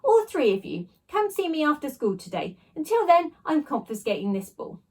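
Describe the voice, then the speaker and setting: A woman's voice: sits high, big pitch swings, normal speed, darkish tone, pitched above the performer's own voice. A woman voicing a stern, short-tempered male schoolteacher in a storybook, barking scolding orders. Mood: angry, commanding.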